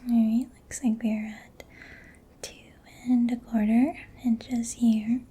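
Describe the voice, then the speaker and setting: A woman doing soft-spoken ASMR roleplay in a breathy whisper, gentle, attentive and reassuring, close to the microphone. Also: a little high, flat delivery, takes it slowly. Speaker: ASMR performer. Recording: faint background noise.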